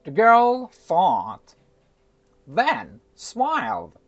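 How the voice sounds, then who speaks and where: Slow, lively storyteller, slightly muffled. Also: medium pitch, faint music behind. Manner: halting, self-conscious English in chat. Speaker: storyteller and co-host. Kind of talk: casual chat and folktale narration.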